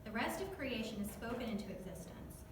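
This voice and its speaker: A woman's voice: fairly high pitch, very animated, brisk, distant mic. A woman with a Southern US accent giving a chatty, joking devotional talk to a church group and asking them questions. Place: church hall, echoey. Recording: echoey hall recorded from afar, noisy.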